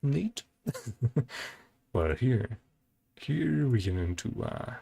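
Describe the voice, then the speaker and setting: A man's voice: medium pitch, big pitch swings, extremely slow, vocal fry, dark tone, slight background noise. A man giving casual reaction commentary as a video host, thinking aloud in a laid-back way with music behind him.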